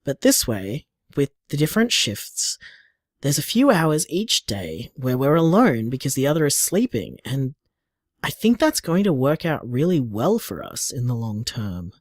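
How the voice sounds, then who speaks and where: A man's voice: mid-range voice, big pitch swings, a little slow, dry recording, studio quality. A man playing an affectionate, playful young bar owner, chatting casually as if leaving voicemails in an audio drama. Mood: tender, reflective.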